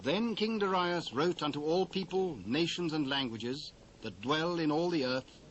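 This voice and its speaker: A British man narrating scripture from a script in a formal, measured storytelling manner. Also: fairly high pitch, expressive, fairly bright tone, low-quality recording. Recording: old cassette with faint hiss.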